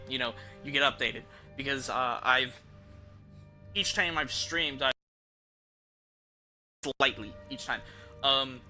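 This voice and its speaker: Man, game streamer, very bright forceful voice, middle pitch, casual rambling commentary, thinking aloud, faint game music. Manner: informal, mildly profane, thinking aloud.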